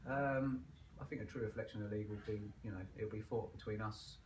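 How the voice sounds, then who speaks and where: A man's voice: lowish voice, fairly even intonation, fast. A man, an amateur footballer, talking casually after a match in a small clubhouse room. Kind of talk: casual post-match interview. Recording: echoey room with background noise.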